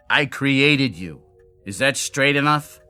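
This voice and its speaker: Sardonic drunken inventor, theatrically animated. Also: male voice, mid-range voice, clean tone, heavily acted. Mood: confessional. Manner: wry, theatrical, world-weary. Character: drunken, sardonic genius inventor, bitterly self-mocking. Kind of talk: radio drama acting.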